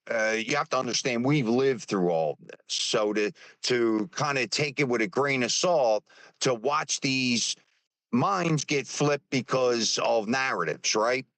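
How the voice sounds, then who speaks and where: A man in his fifties talks in a plain, middle-pitched voice, stopping and repeating words as he gathers his thoughts. The sound is close and dry, with no room around it. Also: fairly bright tone, no room sound. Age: 50s. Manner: halting, repeats words, many fillers. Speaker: speaker on a live stream. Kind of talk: casual opinion talk.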